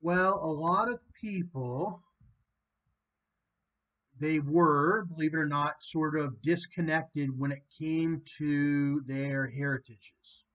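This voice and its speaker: A man's voice: fairly high pitch, steady tone, slow pace, fairly bright tone, highs cut off. A man from Canada hosting a casual phone chat, rambling with lots of fillers. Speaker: host calling a guest.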